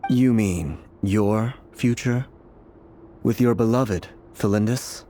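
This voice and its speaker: An actor playing a cryptic, fatalistic male seer in a fantasy video game, with a measured, formal and unsettling manner. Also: fairly low pitch, mellow, slight background noise. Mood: knowing. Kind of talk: dramatic video game acting.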